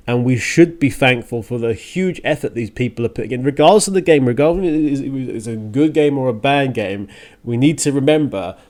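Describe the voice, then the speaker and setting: A man hosting a radio show, talking in an earnest, emphatic monologue with deliberate pauses and repeated phrases. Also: mid-range voice, a little mellow. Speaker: radio show and podcast host. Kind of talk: spoken opinion monologue on a music radio show.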